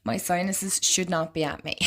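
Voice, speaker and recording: A woman vlogger walking through an oracle card deck in casual, rambling chat, her voice slightly croaky and congested. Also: lowish voice, fairly even intonation, unhurried. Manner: chatty and rambling, lots of "like".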